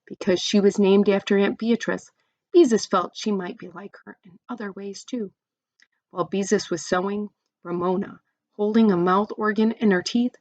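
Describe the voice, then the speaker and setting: A woman's voice: mid-range voice, darkish tone, no echo, lo-fi sound. A woman reading a children's story aloud expressively, with chatty asides to young listeners.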